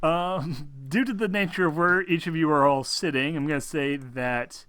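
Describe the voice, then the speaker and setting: A man improvising narration as game master of a tabletop game, thinking aloud in a halting, conversational way. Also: on the high side, a bit bright, dry recording.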